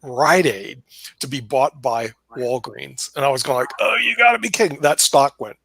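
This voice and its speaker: A man's voice: medium pitch, expressive, moderate pace, gravelly, fairly bright tone, slightly rough. A man hosting a casual video call, talking in a rambling way with many fillers, restarts and laughs. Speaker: host.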